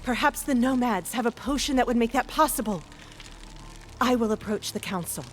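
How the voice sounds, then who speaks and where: A woman's voice: a little high, normal speed, slight background noise, close to an everyday voice. A woman playing an earnest, resolute dragon hunter in a video game cutscene.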